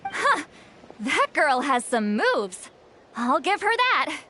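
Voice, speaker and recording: Game role: small bossy granny fighter, high girlish voice, slow cocky delivery. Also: big pitch swings, bright, forceful tone, slight background noise, heavily acted. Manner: cocky, playful, theatrical. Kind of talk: video game voice acting.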